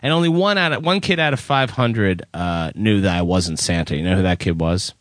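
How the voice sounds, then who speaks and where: A man's voice: low-pitched, brisk, dry recording, studio quality. A middle-aged man co-hosting a talk show, rambling through a story in casual chat, self-deprecating and laughing often, with a whiny, nasal voice. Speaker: podcast co-host.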